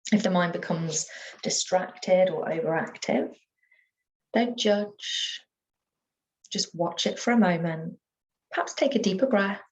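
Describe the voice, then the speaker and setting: A woman teaching yoga nidra, guiding a relaxation meditation in a soothing, unhurried manner with gentle pauses. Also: lowish voice, low-quality recording.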